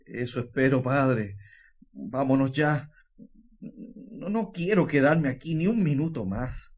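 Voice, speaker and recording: A man's voice: mid-range voice, animated, slow pace, darkish tone. A man with a Chilean accent playing a distraught, fearful small-town father in a radio drama, earnest and stammering. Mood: troubled.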